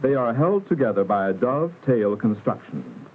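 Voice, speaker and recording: A man narrating a 1960s educational film in a formal, instructional manner with careful, measured enunciation. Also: medium pitch, sing-song. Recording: muffled vintage film soundtrack, narrow band, hiss.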